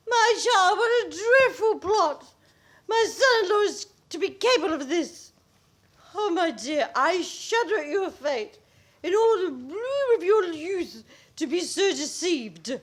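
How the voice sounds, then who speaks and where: A woman's voice: very high voice, very animated, slow, exaggerated voice. A woman playing an indignant, overbearing older mother-in-law in a comic radio play, declaiming and wailing theatrically. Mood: wailing, horrified.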